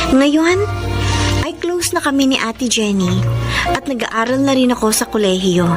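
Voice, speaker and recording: An actress playing a humble, respectful young housemaid in a radio drama, earnest, with a Filipino accent and music behind her. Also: fairly high pitch. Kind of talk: Tagalog radio drama acting.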